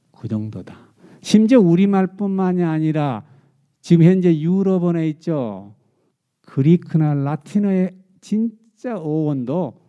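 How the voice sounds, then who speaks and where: A man delivering a temple sermon in a very dark, mellow voice, asking his listeners question after question and repeating his key words. The recording is muffled and lo-fi. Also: fairly high pitch. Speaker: Buddhist monk giving a dharma talk. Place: temple dharma hall.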